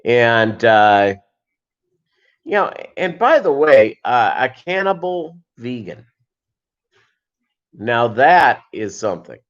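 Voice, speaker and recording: A man's voice: medium pitch, very animated, slow, long pauses. Man rambling casually on a muffled livestream. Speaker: livestream host. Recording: dull lo-fi livestream audio.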